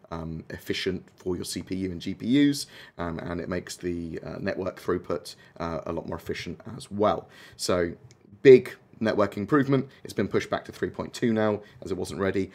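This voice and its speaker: A man from the UK hosting a gaming stream, hoarse, chatting casually with lots of ums and uhs. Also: low-pitched. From United Kingdom. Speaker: gaming channel host. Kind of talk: casual livestream chat.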